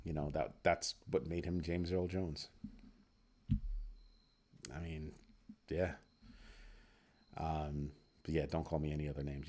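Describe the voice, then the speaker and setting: Deep-voiced man chatting on a livestream. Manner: animated, playful, reacting to chat comments. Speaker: livestream host. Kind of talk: casual livestream chat with viewers.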